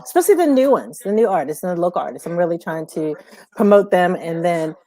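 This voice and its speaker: A woman's voice: on the low side, lively intonation, fast talker, mellow, dry recording. A woman hosting a music show in a casual, conversational chat style.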